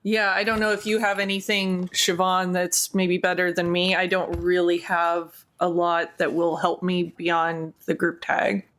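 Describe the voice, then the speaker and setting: A woman's voice: on the low side, flat delivery, measured pace, hardly any pauses, a bit bright. A woman playing a tabletop game, talking casually with the others at the table.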